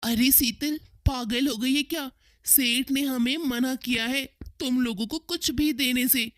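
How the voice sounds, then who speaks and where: A woman puts on a village auntie from a cartoon tale. She is high-pitched and dry in sound, brusque and scolding, with a fearful edge. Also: unhurried, dry recording. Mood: alarmed, dismissive. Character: frightened, scolding village aunt.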